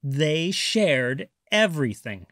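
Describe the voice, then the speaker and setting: A man reading a children's picture book aloud as a storyteller, with expressive, deliberate narration. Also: on the high side, no echo, clean studio sound.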